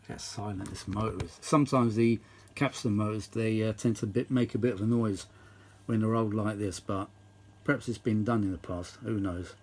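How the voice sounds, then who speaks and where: A man's voice: on the low side, fairly even intonation, moderate pace, a little mellow, slight background noise. A British man rambling casually through a hands-on demonstration, often muttering asides to himself. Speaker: vintage audio hobbyist and video host.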